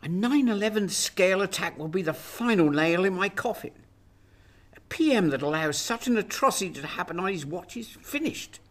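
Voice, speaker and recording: Radio drama Prime Minister, acted: anxious, self-serving, very lively intonation. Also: medium pitch, normal speed, close to an everyday voice. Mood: anxious, self-pitying.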